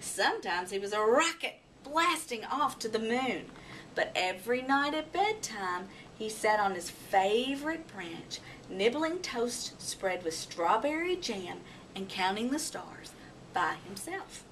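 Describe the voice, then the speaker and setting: A woman narrating a children's storybook in an expressive, dramatic way. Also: on the high side, measured pace. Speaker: storybook reader. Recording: distant mic, faint background noise.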